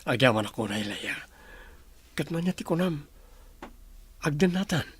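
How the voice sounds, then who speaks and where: A man's voice: a little high, animated, measured pace, husky, dry recording, full character voice. A man with a Filipino accent playing an earnest young suitor and humble husband in a radio drama. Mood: earnest, tender. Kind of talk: Ilocano radio drama acting.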